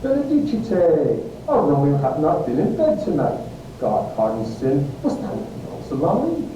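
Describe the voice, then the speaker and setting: A man playing a kindly older friar in an amateur Shakespeare reading, moralising in a measured, declamatory way. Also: wildly swooping pitch. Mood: surprised, fatherly. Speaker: amateur reader, English teacher. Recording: very echoey, distant, noisy with low rumble.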